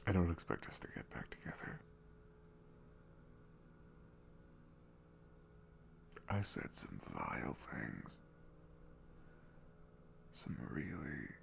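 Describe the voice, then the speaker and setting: A man playing an emotional, remorseful ex-boyfriend in an intimate ASMR roleplay, speaking softly and haltingly as he pleads and confesses. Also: exaggerated voice. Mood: ashamed confession. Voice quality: soft, breathy, low murmur with creak.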